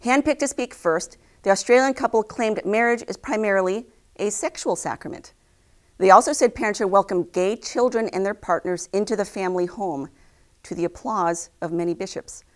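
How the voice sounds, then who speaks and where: A young adult woman reading scripted news headlines to camera as an anchor, in a formal, measured way. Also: medium pitch. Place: indoor news studio.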